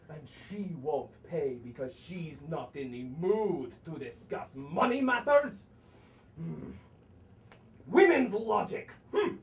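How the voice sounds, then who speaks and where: Heard from far off on a theater stage with faint noise behind, a man plays a blustering, short-fused landowner. He grumbles to himself and erupts in theatrical exclamations, his pitch leaping about. The comic delivery is broad and full of scorn. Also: heavily acted. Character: blustering, hot-tempered, woman-scorning male landowner creditor. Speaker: student stage actor. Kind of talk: theatrical stage acting of a comic one-act play. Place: theater stage, recorded from a distance.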